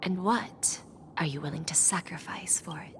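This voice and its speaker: An actress playing an enigmatic, alluring wish broker in a video game, measured and sultry, with breathy phrase endings. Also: female voice, lowish voice, in a whisper, close to an everyday voice. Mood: smooth, inviting. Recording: background music under the voice. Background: music.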